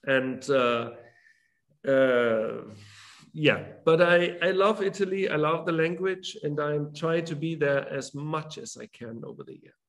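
A man's voice: a little high, lively intonation, fairly bright tone. German man chatting on a video call. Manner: halting, with many restarts and fillers. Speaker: author guest. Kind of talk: casual interview talk. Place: home room with a large drawing on the wall. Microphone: video call webcam.

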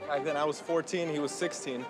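A man's voice: on the high side, fairly even intonation, brisk. A man in his twenties, a contestant, talking earnestly to camera about his past on a show. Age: 20s. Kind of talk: interview to camera. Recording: music playing behind.

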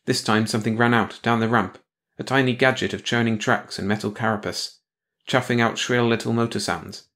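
A man's voice: mid-range voice, steady tone, fairly quick, fairly bright tone. A man reading a scripted science fiction story as an audiobook narrator, in bedtime read-along style.

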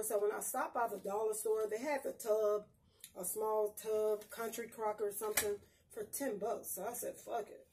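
A woman's voice: a little high, a little mellow, slight background noise. A middle-aged woman vlogging casually from a small home kitchen, talking bluntly and cussing freely as she chats to her viewers. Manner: chatty, blunt, cusses freely, addresses viewers as y'all. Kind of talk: casual grocery haul vlog. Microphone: phone camera a few feet away.

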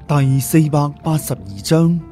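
A man's voice: on the high side, flat delivery, measured pace, dark tone, soft background music. A man narrating a story in a dramatic audiobook style.

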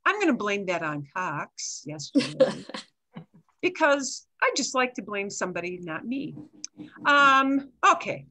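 Bright-voiced older woman teaching Spanish online. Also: medium pitch, very animated, unhurried. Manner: mixes Spanish and English, explains patiently with asides. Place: home video call. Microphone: headset.

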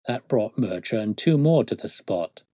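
A man's voice: on the low side, lively intonation, normal speed, warm tone, no room sound, clean studio sound. A man voicing an uneducated, defensive London police constable with colloquial, ungrammatical working-class speech in an audiobook story. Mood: amused.

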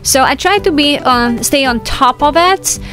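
A woman in her thirties narrating a homemaking vlog in a relaxed, chatty voice-over with fillers and light laughs. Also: high-pitched, a little slow, soft background music. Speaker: homemaking vlogger.

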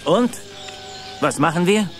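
A man's voice: sits high, animated, slow pace, faint music behind. Flustered, earnest father played by a man. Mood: determined. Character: anxious, evasive, apologetic father searching for his missing son. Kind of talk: German audio drama acting.

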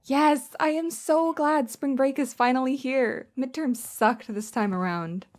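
A woman's voice: high-pitched, measured pace, a little mellow. A woman playing a cheerful, friendly young female student who keeps the peace in an audio drama.

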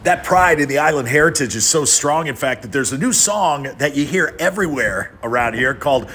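Bright, lively male travel narrator. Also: fairly high pitch, fairly quick, slightly rough. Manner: upbeat and enthusiastic. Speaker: travel show host. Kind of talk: scripted voice-over narration.

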